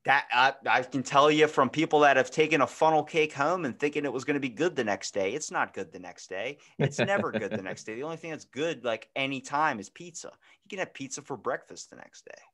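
A man's voice: medium pitch, brisk, hardly any pauses, a bit bright. American man riffing in casual chat. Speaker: podcast participant. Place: remote video call from a room indoors.

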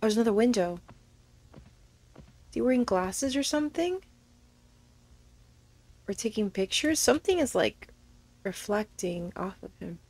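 A woman's voice: mid-range voice, lively intonation, a little slow, pauses often, darkish tone. A woman giving casual, nervous commentary as she plays a horror game, thinking aloud. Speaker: gaming video host.

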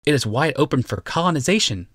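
A man's voice: mid-range voice, lively intonation, quick pace, a bit bright, breathy edge, no room sound, studio quality, pitched above the performer's own voice. A male narrator voicing a smug, teasing woman who walks between worlds. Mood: proud. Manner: teasing, matter-of-fact. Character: smug, sly female otherworldly traveler.

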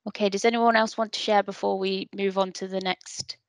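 A woman's voice: mid-range voice, little pitch movement, brisk, dry recording. A woman hosting an online event on a video call, polite and encouraging, often repeating words as she talks. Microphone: computer mic on a video call.

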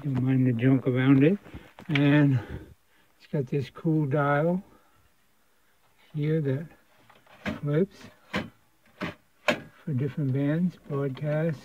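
A man giving a casual hands-on demonstration as a radio restorer, explaining things in a halting way. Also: a little high, fairly even intonation, background noise. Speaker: radio restorer presenting a repair. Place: indoor home electronics workshop. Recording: tinny, phone-like band-limited sound.

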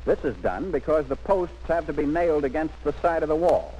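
Male narrator for vintage army training film. Lively, swooping intonation, instructive and emphatic. Muffled old soundtrack. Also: fairly high pitch, brisk, husky.

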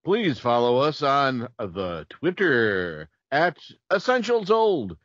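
Lively-pitched man, dry dull recording. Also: slow pace, no room sound. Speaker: podcast co-host. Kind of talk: casual podcast hosting. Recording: dull lo-fi sound.

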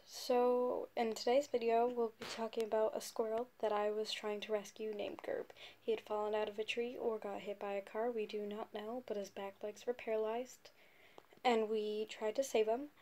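A woman's voice: fairly high pitch, flat delivery, normal speed, darkish tone. A young teenage girl talking casually and tenderly in a home pet vlog, recorded on a phone. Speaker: vlogger and amateur animal rescuer. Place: indoors in a home living room and bedroom. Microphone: phone, at arm's length or propped nearby. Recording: noisy amateur phone recording.

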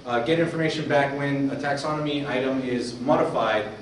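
A man hosts an informal tech meetup, addressing the room in a casual way. His medium-pitched voice is picked up from far off in a very echoey space, with faint noise behind it. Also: steady tone, a little fast. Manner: casual, repeats audience questions for the room. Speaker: host of a community tech meetup. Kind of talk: informal emceeing to a crowd.

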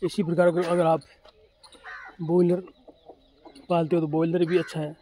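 A man's voice: fairly high pitch, little pitch movement, normal speed, mellow. A man from Rajasthan, a poultry farmer talking casually and repetitively to viewers, recorded outdoors on his farm. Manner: plain, repetitive, talks straight to viewer. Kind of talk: casual explainer vlog. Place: outdoors on open farm with chickens. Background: chickens clucking, faint noise.

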